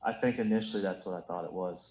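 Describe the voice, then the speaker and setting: A man, a police officer, testifying on the witness stand, answering in a measured, polite way and often saying "yes, ma'am". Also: low-pitched, a little mellow, dull audio. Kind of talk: courtroom testimony. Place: courtroom. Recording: echoey courtroom audio.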